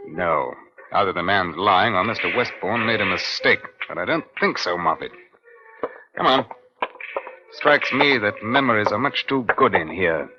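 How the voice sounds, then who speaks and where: A man's voice: fairly low pitch, expressive, over music, natural voice. A man playing a firm, probing British Scotland Yard detective in an old radio crime drama. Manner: measured, questioning. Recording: muffled old radio recording.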